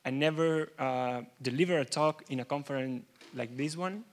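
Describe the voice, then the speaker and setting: A young man from Argentina, a native Spanish speaker with an Argentine accent, giving a nervous, halting conference keynote in a large hall. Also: medium pitch. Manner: nervous, halting, many restarts.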